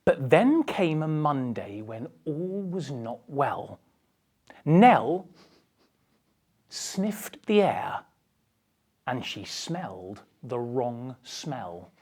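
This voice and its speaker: A woman's voice: a bass voice, big pitch swings, drawn-out words, long pauses, darkish tone, slightly rough. A woman reading a children's picture book aloud with theatrical, dramatic emphasis. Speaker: storybook reader.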